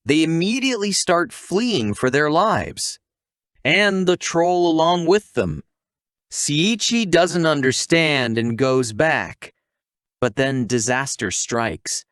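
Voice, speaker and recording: Slow, lively male recap narrator. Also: on the high side, no echo, clean studio sound. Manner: casual asides to the listener. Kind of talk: scripted storytelling narration.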